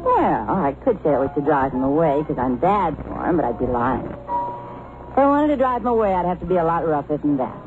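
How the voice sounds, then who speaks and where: A woman's voice: low voice, sing-song, a little fast. An actress playing a brassy, sardonic, tipsy good-time woman in an old radio drama, drawling and teasing. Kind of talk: 1940s-50s radio drama acting. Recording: muffled old radio recording, music behind.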